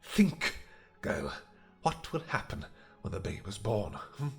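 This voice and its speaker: A man playing a cold, pleading vampire conspirator in a fantasy audio roleplay, urgent, deliberate and menacing. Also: lowish voice, sing-song, gravelly, soft background music, exaggerated voice. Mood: cold warning.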